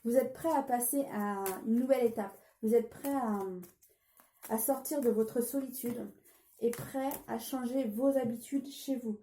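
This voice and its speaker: A woman with a cold reads tarot cards for her viewers in a casual, hesitant way, pausing on fillers and restarting her sentences. Her voice is fairly high yet mellow and soft. It rings in an echoey room over a faint hiss. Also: fairly even intonation. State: has a cold. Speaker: tarot card reader. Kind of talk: casual tarot reading vlog.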